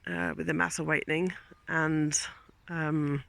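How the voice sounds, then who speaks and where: A man's voice: fairly high pitch, level tone, unhurried, creaky, sharp tone. A man, a teacher, musing in a rambling, reflective way on a casual walk outdoors in the countryside, filmed on a handheld phone. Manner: rambling, reflective, loses train of thought. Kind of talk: casual vlog monologue. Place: outdoors in countryside while walking.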